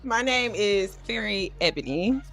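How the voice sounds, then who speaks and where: A woman's voice: mid-range voice, lively intonation, a little slow, a bit bright. Bubbly, giggly woman in her thirties. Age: 30s. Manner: giggly, bubbly, a bit nervous. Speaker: dating show contestant. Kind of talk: casual on-camera chat.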